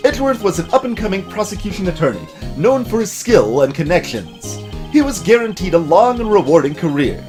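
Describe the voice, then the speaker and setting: A man's voice: sits high, big pitch swings, fairly quick, darkish tone, soft background music. A man voicing a formal television news anchor in a video game, reading bulletins in a declamatory way. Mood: announcing. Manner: declamatory newsreader delivery.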